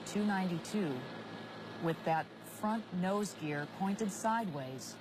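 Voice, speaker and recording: A woman's voice: medium pitch, slow pace. An American woman co-anchoring live breaking news with running commentary. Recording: clearly noisy live feed, vehicle drone.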